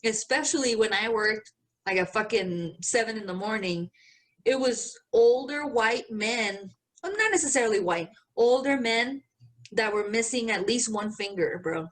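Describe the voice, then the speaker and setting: A young adult woman co-hosting a podcast, telling stories in a laid-back way, cussing freely and calling her friend "bro". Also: medium pitch. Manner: profane, laid-back storytelling, says "bro" a lot. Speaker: podcast co-host. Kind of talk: casual chat.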